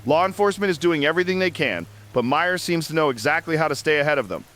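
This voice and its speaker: A man playing a somber, shaken late-night radio call-in host, speaking gravely and earnestly. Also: on the high side, expressive, fast talker, a bit bright. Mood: grave, uneasy.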